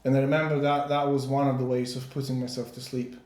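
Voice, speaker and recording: A Polish-born man in his forties, a podcast guest speaking English as a second language, casually telling his story with many pauses and repeated words. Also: mid-range voice, little pitch movement, a little mellow, roomy sound. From Poland. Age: 40s. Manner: hesitant, repeats words while searching for English. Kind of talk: casual interview chat.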